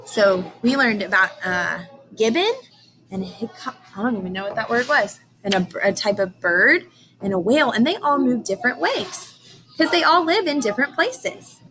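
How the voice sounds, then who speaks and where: A woman's voice: on the high side, lively intonation, normal speed, pushed and bright, slight background noise, low-quality recording. A woman teacher reading aloud to young children, explaining and asking questions in simple, emphatic language.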